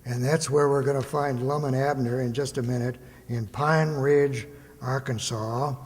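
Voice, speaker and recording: A man's voice: medium pitch, steady tone, unhurried, darkish tone. A man hosting a live show, giving an informal, reminiscing introduction in a folksy way. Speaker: stage host introducing a show. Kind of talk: informal spoken introduction to an audience. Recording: reverberant hall, live stage.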